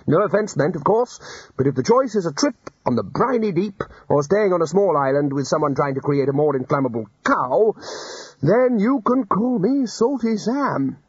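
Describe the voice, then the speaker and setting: A man doing a booming, bluff old wizard chief. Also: expressive, slightly rough, no echo. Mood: hearty. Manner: hearty, brisk, booming. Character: bluff loud elderly male wizard leader. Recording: dull lo-fi audiobook recording.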